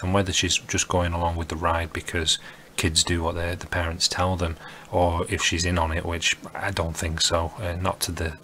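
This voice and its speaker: A man's voice: deep voice, sharp tone. A man giving opinionated commentary as an online video commentator, in an investigative style.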